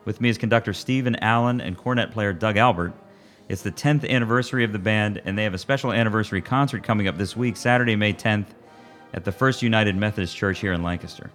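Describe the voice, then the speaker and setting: A male radio host with a somewhat low voice, leading an interview in an easygoing way. He chuckles and trips over words now and then. Faint music sits behind him. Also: quick pace, a bit bright.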